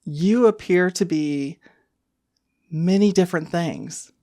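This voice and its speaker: A woman's voice: fairly low pitch, takes it slowly, warm tone, no echo, pitched above the performer's own voice. A woman acts out an older lady who is really a computer program in a tabletop game. She is courteous and hesitant, sweetly insistent, and recorded close and dry. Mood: gently concerned.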